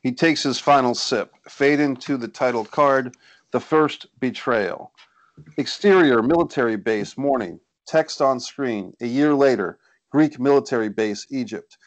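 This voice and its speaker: A man's voice: mid-range voice, a little slow, dry recording, low-quality recording. Man narrating screenplay directions, dry sound. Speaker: screenplay reader of stage directions. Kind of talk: scripted narration at a table read. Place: indoor room on a video call. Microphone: video call.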